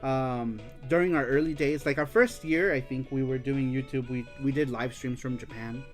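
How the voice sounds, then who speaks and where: A man from the United States hosting a live-streamed show, chatting casually as he reads viewer comments. He is animated, laughs often, swears freely and slips into Spanish. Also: medium pitch, normal speed, faint music behind. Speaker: host of a live-streamed retro gaming show.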